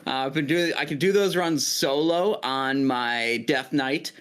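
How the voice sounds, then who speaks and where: Podcast guest guy, mid pitch, kinda monotone and matter of fact, lots of uhs and likes. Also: measured pace, clean tone. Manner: steady, informative, fairly monotone talk with many fillers. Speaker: podcast guest, gaming YouTuber. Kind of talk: casual podcast chat.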